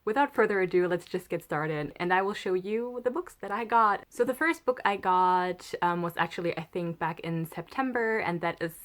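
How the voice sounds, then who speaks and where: A woman's voice: lowish voice, moderate pace, hardly any pauses. A woman with a German accent and German as her first language, chatting enthusiastically as a book vlogger. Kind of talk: casual book haul chat.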